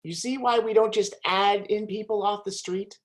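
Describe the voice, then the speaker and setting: A woman's voice: medium pitch, fairly even intonation, normal speed, close to an everyday voice. A woman playing a fed-up playwright complaining about her story going off track, in an amateur stage play. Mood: exasperated. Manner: exasperated, complaining. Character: exasperated playwright. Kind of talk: amateur theatre acting.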